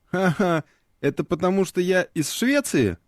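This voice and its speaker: A man's voice: high voice, lively intonation, moderate pace, dry recording, studio quality. A man voicing a friendly, joking Swedish character in a scripted lesson dialogue.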